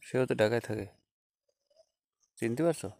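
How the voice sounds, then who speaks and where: A man acting as a polite schoolteacher with a Bangladeshi accent in an audio phone drama. He talks haltingly in a mellow, gravelly voice, recorded close and dry. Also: mid-range voice, dry recording, lightly acted. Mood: hesitant, explaining. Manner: hesitant, halting, with frequent small acknowledgements. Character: hesitant, polite unmarried former schoolteacher phoning an old schoolfriend. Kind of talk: staged phone-call audio story, conversational.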